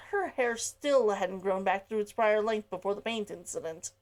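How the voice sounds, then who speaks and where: A woman author reading her own story aloud and chatting to viewers, expressive, with sighs and laughs. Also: medium pitch, normal speed. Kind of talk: read-aloud narration and chatty asides.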